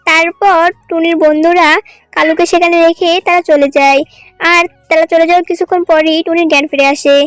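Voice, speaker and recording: A woman's voice: very high-pitched, flat delivery, a little fast, dry recording, soft background music. A woman reading scripted narration for a children's cartoon story.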